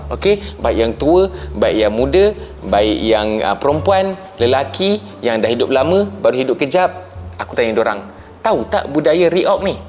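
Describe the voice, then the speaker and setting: A young Malaysian man with a Malaysian Malay accent, giving a casual, sarcastic commentary vlog. He mocks, mutters low, then jumps up to high exclaims. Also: darkish tone. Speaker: YouTube commentator.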